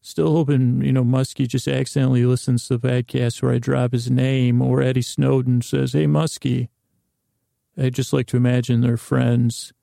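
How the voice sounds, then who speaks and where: A man with a heavily creaky, gravelly voice and a dark, mellow tone hosts a sleep podcast. He rambles in a soothing, improvised way, stumbling, repeating himself and drifting into tangents. The sound is dry, with no room echo. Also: mid-range voice, level tone. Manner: meandering, halting, repeats and trails off into tangents; soothing, lulling. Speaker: podcast host. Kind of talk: rambling, improvised bedtime monologue.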